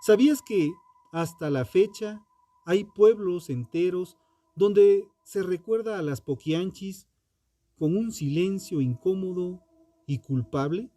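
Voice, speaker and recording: A man narrating a scripted true crime podcast in a dramatic, solemn and deliberate manner, with ominous rhetorical questions. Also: sits high, expressive, warm tone.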